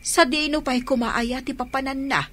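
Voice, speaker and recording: A woman's voice: sits high, steady tone, normal speed, fairly bright tone. An actress playing an anxious, nagging middle-aged mother in a radio drama, fretful and complaining. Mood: worried. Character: anxious, nagging mother worn down by her children.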